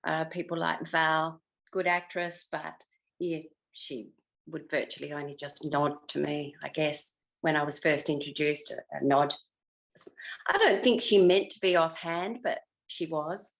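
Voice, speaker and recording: A woman's voice: low-pitched, steady tone, measured pace, vocal fry, poor audio. An older woman with an Australian accent reminiscing in a casual interview, hesitant as she searches her memory. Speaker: interviewed former actor.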